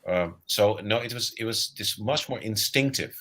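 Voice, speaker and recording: Man, Dutch accent, middle-aged, lowish voice, bright tone, reflective, repeats words, video call. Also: normal speed, slight background noise. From Netherlands. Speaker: guest author. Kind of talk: casual interview talk. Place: home, on a live video call.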